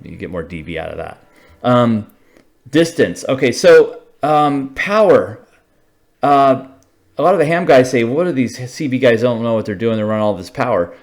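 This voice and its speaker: An American man giving an informal, unscripted technical explanation, thinking aloud haltingly and checking in with short tags. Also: fairly low pitch. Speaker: radio hobbyist explainer.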